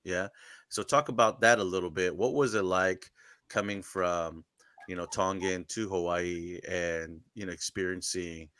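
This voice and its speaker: A man hosting a casual interview, asking long questions slowly and carefully. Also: low-pitched, fairly even intonation, fairly bright tone, clear, resonant tone, no echo. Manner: slow, searching questions. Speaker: interviewer, pastor. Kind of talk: conversational interview.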